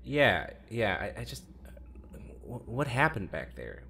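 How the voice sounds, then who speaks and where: An actor playing a weary, sardonic prisoner who speaks haltingly and swears, in naturalistic audio drama. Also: mid-range voice, sing-song, creaky, fairly bright tone, slight background noise, heavily acted. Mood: concerned. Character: Victor, wry, weary prisoner and test subject.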